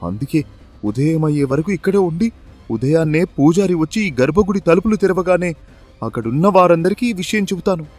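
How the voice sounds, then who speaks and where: Man voicing a high-pitched, emotional poor farmer. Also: lively intonation, a little fast, darkish tone, faint music behind. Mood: shocked, resolute. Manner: plaintive, emotional, exclamatory. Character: poor, pleading, honest village farmer wronged by chief. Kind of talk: dramatized moral story for cartoon.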